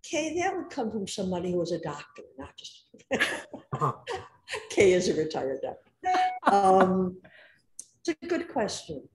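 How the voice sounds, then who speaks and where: A woman on a senior services panel over a video call, conversational and quick to jump in. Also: mid-range voice, big pitch swings. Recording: echoey room, faint background noise.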